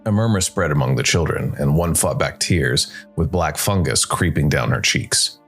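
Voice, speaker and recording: A man's voice: a bass voice, expressive, moderate pace, fairly bright tone. A man narrating a scripted fantasy tale dramatically as a storyteller. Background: music.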